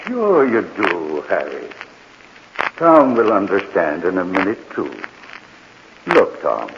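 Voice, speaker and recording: An actor playing a kindly, regretful old morgue attendant in a 1940s radio mystery. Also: male voice, low-pitched, sing-song, slow, exaggerated voice. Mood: sorrowful. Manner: regretful, ominous, repeating himself. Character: kindly, sorrowful old morgue attendant who talks to the dead. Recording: muffled, noisy old radio recording with reverb.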